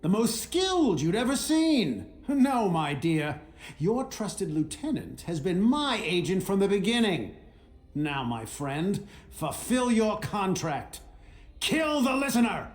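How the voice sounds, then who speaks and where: A ruthless, scheming villain of a father in a video game. He is grandiose and menacing, drawing words out slowly and swinging widely in pitch. The voice echoes. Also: big pitch swings, heavily acted. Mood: smug menace. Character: wicked, gloating father, ruthless schemer commanding mercenaries. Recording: echoing voice with music underneath.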